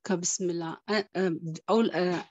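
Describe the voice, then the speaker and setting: Fast-talking Arabic-accented woman. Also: on the low side, steady tone, darkish tone, no echo, muffled recording. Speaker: student giving thanks. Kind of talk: heartfelt informal testimonial.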